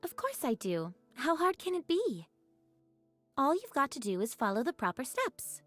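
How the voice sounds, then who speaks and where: A woman's voice: high voice, huge pitch swings, normal speed, fairly bright tone, no room sound, soft background music, heavily acted. A woman playing a composed, capable young squad leader in an anime game, speaking decisively and politely. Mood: easygoing.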